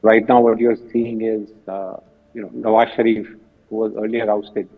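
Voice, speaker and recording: Thin phone-like sound, older male, Indian accent, husky, halting, guest analyst commentary. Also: on the low side, level tone. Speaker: retired general, guest analyst. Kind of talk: interview commentary. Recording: muffled phone-like remote call, distant.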